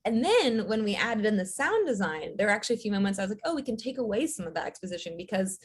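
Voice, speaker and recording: Podcast guest: young adult woman, husky, chatty, laughs easily. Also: mid-range voice, big pitch swings, fast talker. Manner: chatty, laughs often, many fillers. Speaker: audio drama writer, guest. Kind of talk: casual podcast interview.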